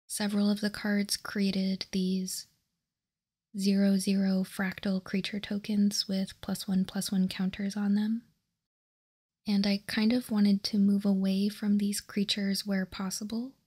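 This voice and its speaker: A woman softly whispering ASMR narration close to the mic, calmly and methodically explaining as a host. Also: medium pitch. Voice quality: soft, breathy whisper. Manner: calm, measured explaining. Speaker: host of a card game ASMR channel.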